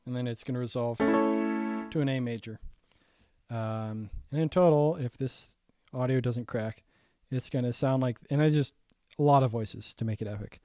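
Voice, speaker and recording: A young man walking through his own saxophone arrangement in a casual, halting way, full of ums and restarts, his voice getting raspy. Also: medium pitch, dark tone, soft background music. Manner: halting, many ums and restarts, thinking aloud. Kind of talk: casual screen-share breakdown.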